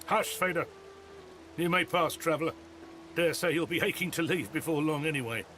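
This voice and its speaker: A bright, forceful older guard. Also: male voice, on the high side, moderate pace, slight background noise. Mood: curt, instructing. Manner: brusque, commanding, colloquial. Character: gruff older male town guard, bossy, watchful. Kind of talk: video game character acting.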